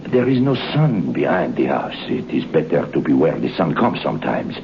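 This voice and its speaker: A deferential but insinuating French head waiter in an old radio play. His voice is very deep and gravelly, with a French accent. The recording is muffled and full of hiss. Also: sing-song, moderate pace, exaggerated voice. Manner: deferential yet cryptic, insinuating. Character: sly French head waiter, middle-aged man. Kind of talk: 1940s radio acting.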